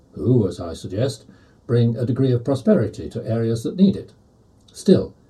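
A man reads an opinion piece aloud in a very dark, mellow voice. His pitch moves around a lot as he stresses his points, with the delivery of a scripted article. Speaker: volunteer article reader.

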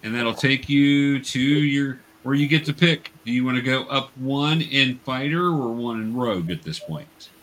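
A man speaking casually and haltingly as a game master, explaining things to players and viewers. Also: mid-range voice, a bit bright.

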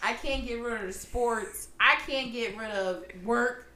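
A woman in her thirties co-hosting a podcast in casual, slangy chat, her voice a little hoarse. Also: on the high side, a little slow, sharp tone. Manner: laid-back, laughing, slangy. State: slightly hoarse. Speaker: podcast co-host. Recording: echoey room, faint noise.

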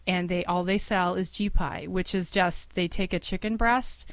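A woman's voice: lowish voice, fairly even intonation, moderate pace, clear, resonant tone, dry recording. A middle-aged woman from Cleveland, a school's founding director, talking casually in an interview with many fillers and easy laughs. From Cleveland, USA.

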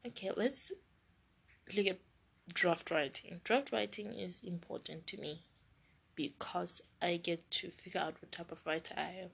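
A woman's voice: lowish voice, measured pace, creaky, fairly bright tone, slightly rough. A young woman hosting a solo podcast, talking in a rambling way and often restarting her sentences. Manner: rambling, restarts and self-corrects. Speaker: podcast host.

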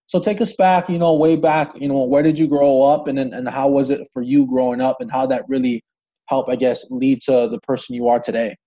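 A man's voice: mid-range voice, little pitch movement, fairly quick, warm tone, muffled recording. A man hosting a podcast, introducing a guest and asking questions in a loose, friendly way with many fillers. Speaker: podcast host.

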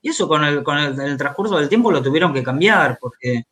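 A man's voice: on the high side, lively intonation, brisk, fairly bright tone. A man with an Argentine accent speaking as an interviewed writer, conversational and hesitant, often repeating words as he goes. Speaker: interviewed author, journalist and biographer. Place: remote video call.

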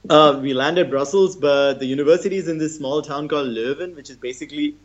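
A young man from India, a guest in a casual interview, relaxed and chatty, laughing often. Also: a little high, a little fast, dull audio.

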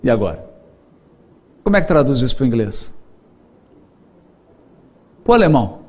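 A man giving a religious lecture, humorous and animated, repeating key words for emphasis. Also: mid-range voice, normal speed. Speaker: Spiritist lecturer.

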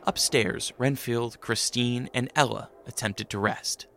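A man's voice: mid-range voice, a little slow, vocal fry, fairly bright tone. A man reading scripted narration as the narrator of a story.

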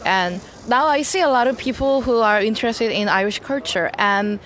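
A woman's voice: on the high side, normal speed, fairly bright tone. A woman with a Korean accent, a non-native English speaker, earnestly explaining her work as a promotions officer in simple phrasing. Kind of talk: interview answers.

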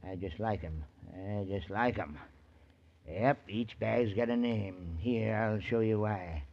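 An actor playing a lonely, unschooled mountain hermit in a 1940s radio drama, with an American accent and folksy, ungrammatical speech. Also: male voice, fairly low pitch, takes it slowly, gravelly. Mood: slow, matter-of-fact. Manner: folksy rustic speech with poor grammar. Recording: muffled, hissy 1940s radio recording.

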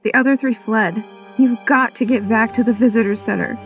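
A woman's voice: fairly high pitch, fairly even intonation, fairly quick, soft background music, close to an everyday voice. A woman playing a scientist calling urgently over a radio, pressing and tense.

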